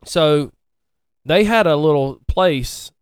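A man's voice: fairly high pitch, lively intonation, slow pace. A man chatting casually as a podcast co-host, agreeable and joking, often repeating himself. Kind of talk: casual chat.

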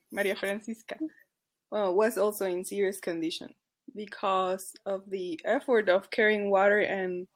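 Mexican-accented woman guest, mid-pitched, earnest, self-correcting storyteller. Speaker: charity advocacy worker. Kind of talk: podcast guest telling a case story.

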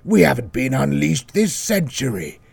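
A man's voice: mid-range voice, sing-song, moderate pace, creaky, breathy edge, dry recording, full character voice. An actor playing a pompous male demon priest from hell, haughty and peevish.

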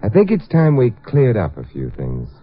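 An actor playing a suave, sardonic detective in a 1950s radio mystery, wry, unhurried and self-assured. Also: male voice, medium pitch, huge pitch swings, soft background music. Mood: probing, assured. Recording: tinny, noisy old radio recording.

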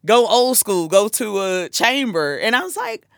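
A man's voice: sits high, big pitch swings, measured pace, gravelly, fairly bright tone, no echo, clean studio sound. A man from South Carolina with a Southern US accent, hosting a casual, agreeable chat and often chuckling. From South Carolina, United States. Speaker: podcast host.

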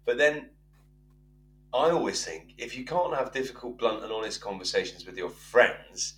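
A man's voice: mid-range voice, moderate pace, a bit bright. A man hosting a podcast, chatty and friendly, laughing easily as he asks questions. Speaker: podcast host. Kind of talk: casual interview chat. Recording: roomy, distant mic with faint hum.

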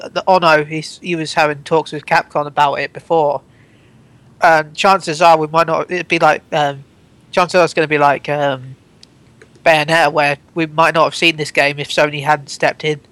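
A man's voice: on the high side, flat delivery, normal speed, fairly bright tone, no room sound. A man in his twenties co-hosting a gaming podcast, rambling and restarting his sentences. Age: 20s. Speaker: podcast co-host. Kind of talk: casual gaming podcast chat.

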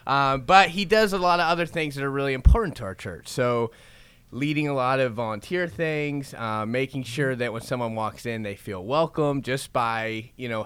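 A young man hosts a relaxed church conversation, his voice bright and crisp. He tells stories in an animated, chatty way and laughs easily. The sound is dry and close, with no room around it. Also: mid-range voice, no room sound. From Florida. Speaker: podcast host. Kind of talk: casual interview chat.

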